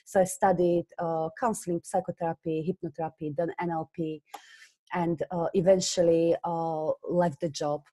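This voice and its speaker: A woman from Slovakia speaks with a Slovak accent in a low, mellow tone. She tells her story earnestly in an interview, often stopping on small fillers as she goes. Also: level tone, moderate pace. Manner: earnest, hesitant with many fillers. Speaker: guest, business coach. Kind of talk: conversational interview.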